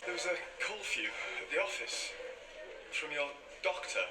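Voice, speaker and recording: A man's voice: on the high side, lively intonation, moderate pace, lightly acted. An actor in a TV drama playing a matter-of-fact man passing on a message. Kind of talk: TV drama acting. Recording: echoey, distant TV playback with loud music.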